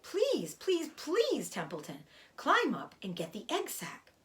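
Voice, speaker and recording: Lady doing a worried little boy pig from a kids book, begging, emotional, pitch jumps way up. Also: huge pitch swings, takes it slowly, a bit bright, heavily acted. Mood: urgent, pleading. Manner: urgent, pleading, emotional. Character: young male pig, anxious, earnest and pleading.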